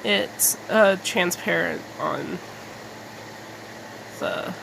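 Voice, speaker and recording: Halting, creaky-voiced woman rambling casually. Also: fairly low pitch, noisy background. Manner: halting, self-deprecating, mildly sweary. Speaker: artist making videos.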